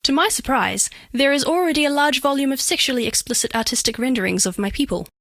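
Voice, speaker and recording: A high-pitched female alien of living diamond for a video game. She is bashful yet flirtatious, curious and literal-minded, with formal, carefully precise wording. The recording is very dry, with no room sound. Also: expressive, fast talker, a bit bright, no echo. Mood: curious, coy. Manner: precise, stilted formal diction, earnest, coyly playful. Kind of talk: scripted game character voice lines.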